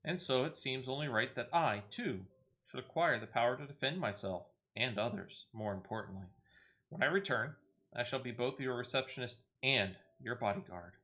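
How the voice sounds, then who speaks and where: A man's voice: mid-range voice, normal speed, pushed and bright, close to an everyday voice. A man voicing an earnest, polite small female receptionist from a fantasy video game, speaking formally and humbly. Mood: earnest, resolute. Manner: formal, deferential fantasy phrasing.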